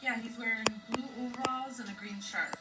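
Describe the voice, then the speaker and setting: A woman's voice: fairly high pitch, steady tone, fairly quick, natural voice. A woman playing a worried mother in an acted scene, talking casually about a suspicious stranger. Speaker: caller reporting to police. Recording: echoey distant playback under loud music.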